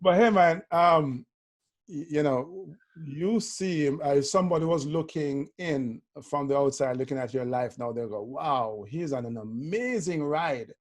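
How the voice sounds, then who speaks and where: A man's voice: fairly high pitch, a little slow. Upbeat Jamaican-accented male host. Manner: upbeat, loose conversational delivery with fillers. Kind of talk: podcast interview.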